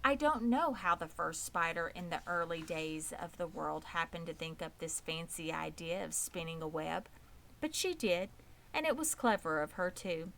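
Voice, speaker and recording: A woman's voice: fairly low pitch, lively intonation, moderate pace, creaky, fairly bright tone, slight background noise, low-quality recording. A woman voicing a poised, pleasant and proud female spider in a children's storybook, composed, precise and matter-of-fact. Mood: proud, defensive. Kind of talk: children's storybook read aloud.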